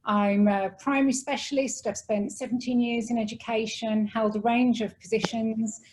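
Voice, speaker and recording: A woman from the UK with a British accent presenting a webinar on a video call, brisk and organised with a few small hesitations. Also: a little high, steady tone, a little mellow, rough recording. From United Kingdom. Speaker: educator and webinar co-host.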